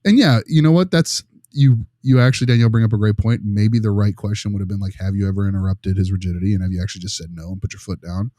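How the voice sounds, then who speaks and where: A man talking through a case as a panelist, casually and with strong opinions, in an emphatic, animated way with rhetorical check-ins. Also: fairly low pitch, quick pace, hardly any pauses, dry recording, clean studio sound. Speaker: panelist discussing a behavioral case study.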